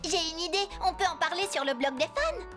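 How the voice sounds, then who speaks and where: A very high, childlike voice for a bossy young robot pilot in a cartoon. The kid is eager and insistent, giving orders over clear background music. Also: female voice, big pitch swings, a little fast. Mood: scheming. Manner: insistent, commanding. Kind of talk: French cartoon dub. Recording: music behind the voice.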